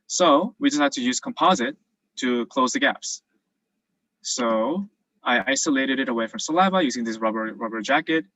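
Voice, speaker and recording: A man's voice: medium pitch, expressive, moderate pace, bright, forceful tone, rough recording. A young man who grew up in South Korea and is now a dental student, explaining cases casually with lots of fillers over a video call. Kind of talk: virtual presentation and Q&A.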